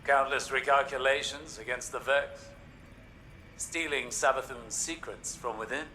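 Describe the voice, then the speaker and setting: An actor playing an earnest, scholarly older male warlock in a video game, speaking in a deliberate, philosophical way. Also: medium pitch, steady tone, sharp tone, slight background noise. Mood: musing. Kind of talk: dramatic video game dialogue.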